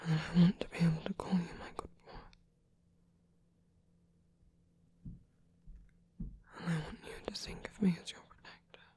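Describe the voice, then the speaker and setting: A performer voicing a gentle, shy, protective male vampire priest in an intimate ASMR roleplay, soft and breathy, speaking in hesitant, tender phrases. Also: medium pitch, flat delivery, fast. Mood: tender, longing.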